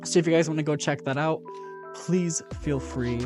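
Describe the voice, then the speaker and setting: A man's voice: fairly high pitch, moderate pace, music in the background. Upbeat man, casual vlog update. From Texas. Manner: upbeat, repeats words for emphasis. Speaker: furry vlogger. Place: bedroom.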